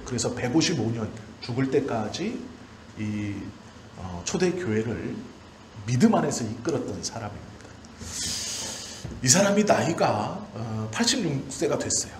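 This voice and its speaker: A man in his forties delivers a sermon as a pastor, at a middling pitch. He builds his points in short, emphatic, repeated phrases. The room echoes noticeably around his voice, with faint background noise. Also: darkish tone. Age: 40s. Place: church hall during a service. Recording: roomy church echo, faint background noise.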